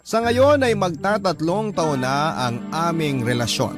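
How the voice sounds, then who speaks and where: An actor playing a sincere, patient boyfriend narrating his love letter in a Filipino radio drama, measured, with a Filipino accent. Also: a little high, music in the background, lightly acted. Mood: sincere, reflective.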